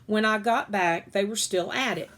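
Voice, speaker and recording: Female reader, children's fiction read-aloud. Expressive storytelling delivery, medium pitch, natural small slips. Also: normal speed. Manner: expressive, acts out dialogue, occasional stumbles and self-corrections.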